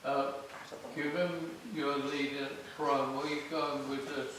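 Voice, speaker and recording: Male rehearsal director giving casual notes, off-mic in a reverberant room with clear background noise. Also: medium pitch, flat delivery, takes it slowly, fairly bright tone, distant mic. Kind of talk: informal stage directions.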